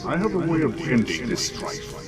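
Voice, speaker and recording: A man playing an earnest, calm alien Jedi general in a comic dub, slightly halting, over loud music. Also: fairly high pitch. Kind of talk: comic dub character acting.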